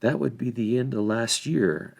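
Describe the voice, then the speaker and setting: A man's voice: mid-range voice, unhurried, vocal fry. A man reading a storybook, voicing an outspoken hobbit gatekeeper who grumbles in a gossipy, rustic way. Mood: grumbling.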